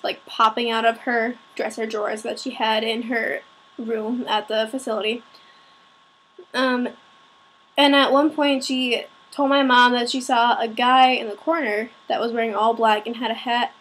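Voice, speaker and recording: A young woman who is a little sick and raspy, talking informally and a bit self-consciously in a personal video. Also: on the high side, fairly even intonation, moderate pace, fairly bright tone, rough recording. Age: young adult, student. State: slightly sick with a cold-like rasp. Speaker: vlogger. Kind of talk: personal talk to camera.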